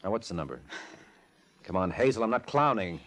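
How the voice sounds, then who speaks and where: Fast-talking tough private eye. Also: male voice, lowish voice, sing-song, fairly bright tone. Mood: cocky, pressing. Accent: American. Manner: slangy, sardonic, pushy. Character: tough wisecracking male private detective, cocky and blunt. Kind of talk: old-time radio drama acting.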